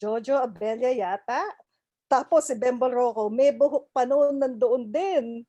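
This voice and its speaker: A woman's voice: fairly high pitch, normal speed, mellow, no room sound, highs cut off. A woman with a Filipino accent reading a reminiscence conversationally on a video call. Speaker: reader at a table read.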